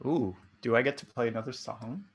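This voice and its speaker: A man's voice: mid-range voice, a little fast, husky. A man co-hosting a home livestream in casual, rambling chat with a wry, whimsical manner. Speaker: livestream co-host and musician.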